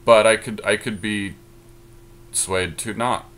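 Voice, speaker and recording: A man co-hosting a podcast, thinking aloud in casual chat with many pauses and restarts. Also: on the low side, steady tone, fairly bright tone. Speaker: podcast co-host. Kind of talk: casual card-draft chat.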